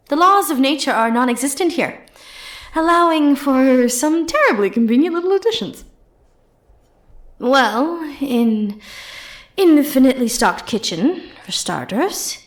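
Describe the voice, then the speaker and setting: A woman playing a powerful, flirty and possessive sorceress in an ASMR roleplay, speaking softly and intimately with theatrical, teasing, condescending turns. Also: a little high. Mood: proud, coaxing. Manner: soft, intimate, teasing, theatrical delivery with breathy murmured asides.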